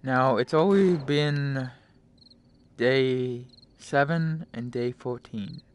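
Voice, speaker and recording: A man giving casual live gameplay commentary as a streamer, halting and talking in short phrases, often mumbling to himself. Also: mid-range voice. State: frequent coughing. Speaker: game streamer. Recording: noisy home stream microphone.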